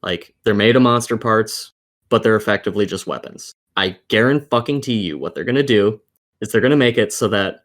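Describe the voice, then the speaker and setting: Low-voiced man ranting about games. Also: normal speed, fairly bright tone. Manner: sweary, exasperated, emphatic. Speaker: gaming podcast co-host. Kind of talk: heated opinion rant in a casual group chat. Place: remote voice call over the internet.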